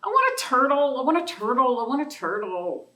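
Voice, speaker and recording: A woman's voice: sits high, big pitch swings, fairly quick, sharp tone, far from the mic, voice pushed up, heavily acted. A woman voicing a little girl who begs over and over for a pet. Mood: pleading. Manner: whiny repeating chant. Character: little girl begging for pets. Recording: roomy sound from away from the mic.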